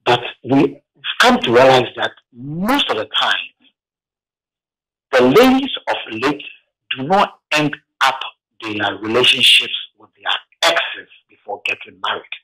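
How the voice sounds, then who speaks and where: A man from Ghana, calling in to a radio show by phone and telling his story haltingly, with restarts. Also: medium pitch, big pitch swings, sharp tone, poor audio. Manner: heavy, halting account with restarts. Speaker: caller.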